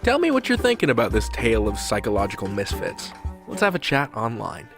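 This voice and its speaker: Male podcast host: lively swooping intonation, chatty and enthusiastic, music bed underneath. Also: on the low side, brisk. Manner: conversational, enthusiastic. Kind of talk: chatty scripted podcast hosting.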